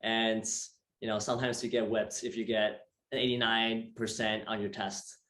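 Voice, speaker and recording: A man's voice: on the low side, level tone, moderate pace, a bit bright. A young man from Taiwan hosting a casual interview, upbeat and full of fillers and repeated words. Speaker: host. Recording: echoey room.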